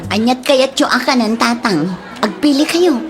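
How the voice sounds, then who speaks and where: A woman's voice: on the high side, lively intonation, moderate pace, music in the background, lightly acted. A woman playing a stingy, complaining daughter-in-law in an Ilocano comedy drama, with exaggerated exclamations and an Ilocano accent. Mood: defensive.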